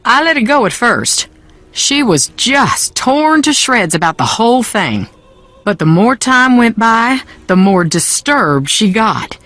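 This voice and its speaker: A woman's voice: fairly high pitch, big pitch swings, slow, fairly bright tone, faint music behind, exaggerated voice. A woman playing a troubled, haughty woman in a video game, brittle and curt, with a Southern US accent. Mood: bitter.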